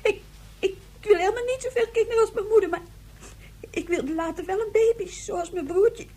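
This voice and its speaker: A female performer playing an upset, anxious young child in a radio drama, halting and plaintive. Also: very high voice, dark tone. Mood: tearful.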